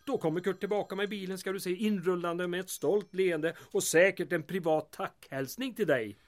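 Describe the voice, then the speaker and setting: An actor playing a tactful, inquisitive small-town mayor in a comic audio drama, polite and slightly hesitant. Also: male voice, high-pitched, natural voice. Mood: reassuring.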